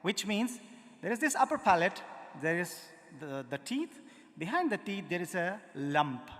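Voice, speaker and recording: A man with an Indian accent giving a lively lecture demonstration, quizzing the audience and sounding out syllables. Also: sits high, measured pace. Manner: demonstrative, engages the audience with questions and spoken syllables. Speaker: music lecturer. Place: large auditorium. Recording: hall reverb.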